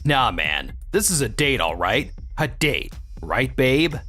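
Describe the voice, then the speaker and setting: A man's voice: medium pitch, very animated, slow, pushed and bright, soft background music, heavily acted. A man playing an arrogant, boastful young office boss in a manga dub, with a smug, dramatic, sneering manner. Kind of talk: animated manga dub dialogue.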